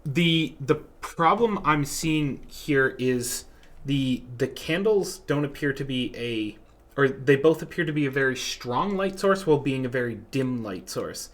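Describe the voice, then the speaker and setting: A man's voice: mid-range voice, lively intonation, a little slow, a bit bright. A man hosting a casual online art critique stream, joking and laughing often as he reads submissions. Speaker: stream host.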